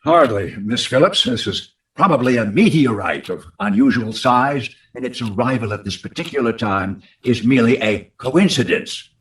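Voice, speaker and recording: A man's voice: mid-range voice, animated, normal speed, few pauses, fairly bright tone, natural voice. A man playing an unruffled, skeptical astronomy professor, speaking in a donnish way in an old-time radio drama. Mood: skeptical, calm. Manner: donnish, dismissive, considered phrasing.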